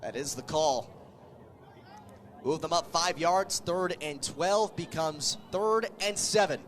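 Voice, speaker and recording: High-voiced man calling football play-by-play. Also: slow pace, a little hiss or hum. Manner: clipped, vivid phrases that follow the action. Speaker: American football radio play-by-play announcer. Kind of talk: live sports play-by-play commentary.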